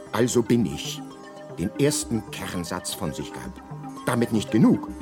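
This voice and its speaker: Radio play casting: man for knowing guidebook narrator, dry asides, deliberate pauses, lively melody, music underneath. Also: mid-range voice, lightly acted. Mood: wry, storytelling. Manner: wry, deadpan asides with deliberate hesitations. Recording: music behind the voice.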